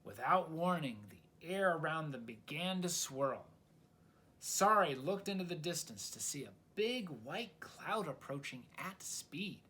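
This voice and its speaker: A man reading a children's story aloud in an expressive, dramatic storytelling style. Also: on the high side, measured pace. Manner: dramatic, asks the listener questions.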